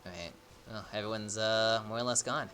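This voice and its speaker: A young man giving casual, halting, slangy commentary as he streams a game. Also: lowish voice, pushed and bright, faint music behind. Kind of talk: casual live play-along commentary.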